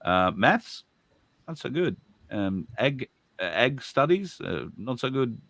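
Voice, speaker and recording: A man co-hosting a live stream, talking in a relaxed, rambling way. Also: medium pitch, takes it slowly, vocal fry, no echo. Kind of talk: casual live stream chat.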